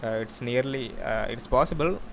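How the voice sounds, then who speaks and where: A man giving an informal software demo walkthrough, explaining in a halting, repetitive way. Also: medium pitch. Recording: clear steady background noise.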